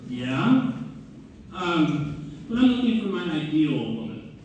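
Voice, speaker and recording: A man's voice: a little high, sing-song, takes it slowly, a little mellow, lo-fi sound. A man in a community theater read-through playing an eager, bashful and picky young divinity student. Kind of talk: community theater stage comedy acting. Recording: distant, very echoey hall, faint noise.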